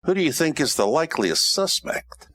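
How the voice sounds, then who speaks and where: An actor playing a hard-boiled, wisecracking Roman private eye, wry and tough-talking, in an old-time radio detective parody. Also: male voice, a little high, sing-song, moderate pace, fairly bright tone, dry recording, studio quality. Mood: brisk, sardonic.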